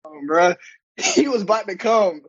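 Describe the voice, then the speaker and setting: A man's voice: high-pitched, lively intonation, unhurried, a bit bright, slightly rough, no echo, highs cut off. A young man co-hosting a casual podcast, joking and laughing in loose slangy banter. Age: young adult. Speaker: podcast co-host. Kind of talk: casual chat.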